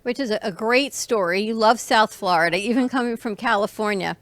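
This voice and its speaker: A woman's voice: medium pitch, brisk, fairly bright tone, no echo. A woman hosting a health talk show with an upbeat, polished presenter delivery. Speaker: show host.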